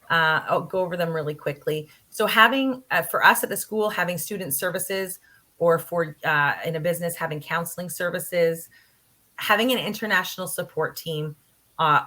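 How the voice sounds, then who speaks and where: A woman's voice: lowish voice, bright, forceful tone. A woman college instructor giving a conference talk in an earnest, practical way, with frequent fillers. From Canada. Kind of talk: conference presentation.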